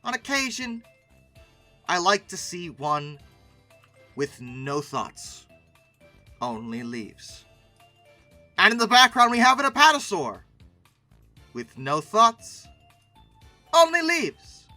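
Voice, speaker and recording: A bright, very animated host giving casual, funny commentary. The delivery swings around with wry asides and exasperated emphasis. The sound is close and dry, with music behind it. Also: medium pitch, takes it slowly, long pauses, no room sound.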